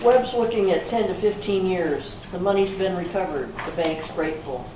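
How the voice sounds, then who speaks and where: A woman reading the part of a brisk, authoritative police sergeant in an amateur readers theater, matter-of-fact in manner. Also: lowish voice, lightly acted. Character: brisk female police sergeant closing a case. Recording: echoey room from far off, clear noise.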